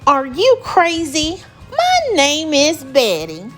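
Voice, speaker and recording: A huge, indignant female monster of the mountains, put on by a woman reading a storybook. She speaks emphatically and grumpily, with a lively rise and fall over faint music. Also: fairly high pitch, takes it slowly.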